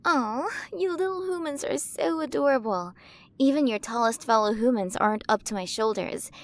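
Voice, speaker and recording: A woman playing a towering alien queen in an ASMR roleplay, cooing to the listener with doting, possessive curiosity about tiny humans. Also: on the high side. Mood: regal, amused. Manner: cooing, fascinated, quaintly mispronouncing human words.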